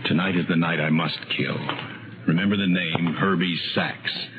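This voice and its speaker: An actor playing a desperate, bitter murderer who narrates his crime in brooding, deliberate 1950s radio thriller style. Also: low voice, steady tone, heavy background noise, natural voice. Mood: brooding, menacing. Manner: brooding, dramatic, deliberate phrasing. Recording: muffled old radio recording with music behind.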